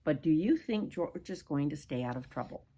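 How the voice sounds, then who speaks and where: A woman's voice: sits low, expressive, moderate pace, warm tone, slight background noise. An older woman reading a children's storybook aloud expressively, with dramatic emphasis and asides to young listeners. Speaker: grandmother reading a picture book aloud.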